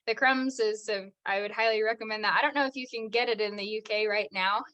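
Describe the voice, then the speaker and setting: A woman's voice: medium pitch, steady tone, fast, fairly bright tone. A young American actress chatting as a podcast guest, filling her talk with "like" and frequent laughs. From United States. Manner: chatty, says "like" constantly, laughs often. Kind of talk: casual interview chat.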